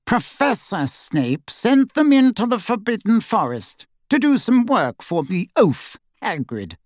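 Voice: mid-range voice, very animated, measured pace, a little mellow, dry recording, clean studio sound, voice pushed up. A performer voicing a cold, haughty old wizard in a portrait, with pompous, sneering, old-fashioned speech, in an audiobook. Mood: haughty, displeased.

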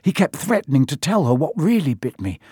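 A man's voice: fairly high pitch, big pitch swings, a little fast, a little mellow, breathy edge, no echo, clean studio sound, pitched above the performer's own voice, full character voice. A man voicing an agitated, anxious schoolboy in a storybook reading. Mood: fretful. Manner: anxious, fretful.

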